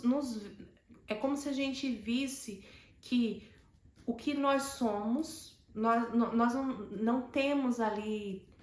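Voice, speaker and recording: Northeastern Brazilian woman host, higher voice, hesitant personal storyteller. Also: slow pace, a little mellow, roomy sound. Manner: hesitant, many fillers and restarts.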